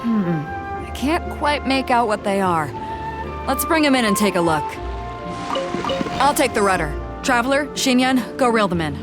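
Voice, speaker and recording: A woman's voice: on the high side, animated, a little slow, pauses often. A woman voicing a cocky, commanding pirate captain in a video game, curt and teasing, with music behind her. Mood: confident. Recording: background music under the voice.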